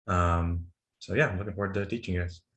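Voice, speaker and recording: A man's voice: deep voice, fairly even intonation, fast talker, a bit bright, reverberant. A man and instructor in training giving a short, plain, friendly introduction on a video call.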